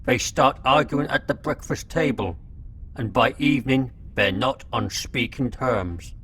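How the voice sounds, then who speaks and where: A man's voice: a little slow, fairly bright tone, close to an everyday voice. A man voicing a talking locust, a gossipy messenger in a radio drama. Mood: reporting gravely. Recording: clear background noise with low rumble.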